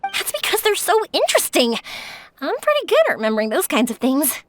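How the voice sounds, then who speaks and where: A woman's voice: high voice, wildly swooping pitch, brisk, a little hiss or hum. A woman playing a cheerful, curious, easygoing young woman in an anime game, upbeat and chatty. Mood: curious, eager. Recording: music behind the voice.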